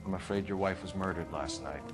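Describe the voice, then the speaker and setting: Game cutscene detective, played by a man: formal, brisk, fair-minded, slightly low voice, music behind. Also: natural voice. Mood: grave, polite. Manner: clipped, official, courteous. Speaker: police detective. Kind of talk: video game cutscene acting.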